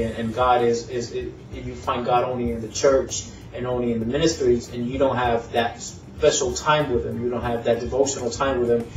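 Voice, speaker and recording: A man in his thirties from Puerto Rico, a band's singer and guitarist, introducing himself in a casual, halting way with many fillers and restarts. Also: medium pitch, darkish tone. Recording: roomy, distant, dull sound with faint music.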